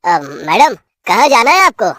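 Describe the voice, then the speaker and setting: A woman's voice: on the high side, big pitch swings, a little slow, a bit bright, no echo, full character voice. A puzzled male motorist voiced by a woman in a spooky cartoon tale. He is wary and full of questions. The recording is dry. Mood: puzzled, uneasy. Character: puzzled, wary male car driver at night.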